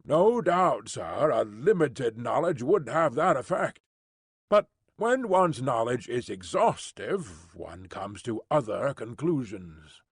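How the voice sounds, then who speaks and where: A man voicing a pompous, self-assured male professor who talks down to others, in a husky voice. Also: medium pitch, very animated, slow pace, no echo, clean studio sound, heavily acted. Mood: condescending. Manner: lofty, condescending.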